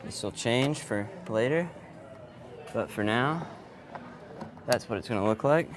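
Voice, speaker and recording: A man talks casually while setting up sound gear at an event venue. His running commentary is half muttered to himself, with exclamations. Clear background noise and clatter sit behind his voice. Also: medium pitch, lively intonation, a little slow. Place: event venue during setup. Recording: noisy on-location vlog audio with clatter.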